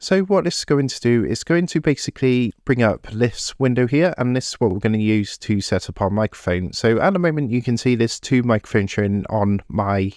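A man walks viewers through a software setup one click at a time, with a mid-pitched voice and an easygoing, repetitive way of explaining. The sound is close and dry, with almost no room in it. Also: brisk, few pauses, dry recording. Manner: steady step-by-step instructions, repeats "what you wanna do". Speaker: tech tutorial presenter. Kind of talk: screen-recorded software tutorial. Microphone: desktop USB microphone.

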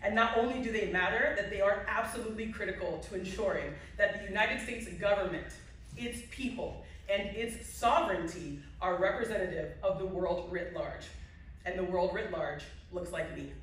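A woman's voice: mid-range voice, normal speed. A woman giving an emphatic award acceptance speech from a podium, building her points through repetition. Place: large indoor hall at a podium, to a seated audience. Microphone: podium mic, heard from a phone in the audience. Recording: echoey hall from far off.

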